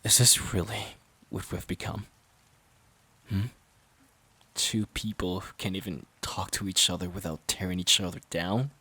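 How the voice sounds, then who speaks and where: A man playing a worn-out, resentful boyfriend in an audio drama, pleading in a halting, strained way. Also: deep voice, fairly even intonation, sharp tone, breathy edge, full character voice. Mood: anguished, heated. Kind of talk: dramatic audio roleplay monologue.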